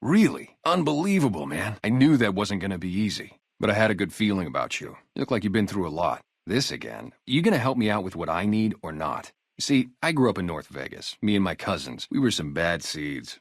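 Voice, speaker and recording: A low-pitched, streetwise male sniper from a post-apocalyptic video game. He is a guarded, loyal former gang member turned town guard. His casual talk moves freely up and down, recorded close and dry. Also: sing-song, brisk, few pauses, no echo, full character voice. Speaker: security guard and former soldier. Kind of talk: video game character dialogue, naturalistic.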